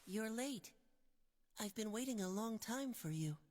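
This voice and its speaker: A woman playing a calm, mysterious child spirit in a video game, speaking in a measured, cryptic way. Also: a little high. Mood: calm, eerie. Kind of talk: scripted video game cutscene acting. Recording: long cavernous reverb on game audio.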